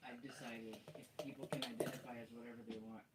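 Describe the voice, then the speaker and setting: A man's voice: mid-range voice, little pitch movement, quick pace, heavy background noise. A man in his thirties chatting on a livestream, chiming in with dry joking asides. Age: 30s. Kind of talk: casual livestream chat.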